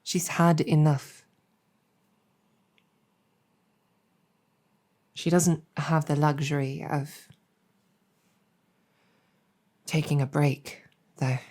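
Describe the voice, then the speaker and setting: A woman playing a gentle, earnest, world-weary demon general in an ASMR roleplay, speaking softly and reassuringly with measured hesitation. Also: low-pitched, fairly even intonation. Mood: weary, grave. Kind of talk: improvised ASMR roleplay storytelling.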